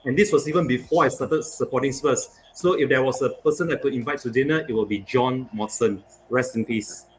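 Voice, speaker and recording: This is a man raised in Asia whose first language is Chinese, speaking English on a casual podcast. He explains his answers at length in a measured, deliberate way. His voice sits at an ordinary pitch and comes through a video call. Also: slight background noise, low-quality recording. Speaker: panel guest.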